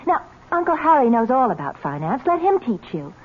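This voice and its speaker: An actress playing a wealthy, doting but bossy society fiancée in an old-time radio mystery, coaxing and self-assured. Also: female voice, fairly high pitch, big pitch swings, brisk, husky. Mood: coaxing, insistent. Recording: muffled, noisy vintage radio recording.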